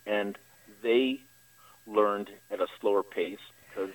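An American man from Colorado phoning a radio show, telling personal experiences haltingly, with frequent pauses and fillers. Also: lowish voice. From Greeley, Colorado. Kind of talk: personal account in a live call. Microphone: phone call to radio show. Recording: distant-sounding radio call-in line.

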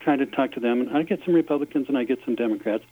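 Guy calling in on a tinny phone line, earnest, halting, lots of ums. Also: mid-range voice, breathy edge. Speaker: caller, campaign volunteer.

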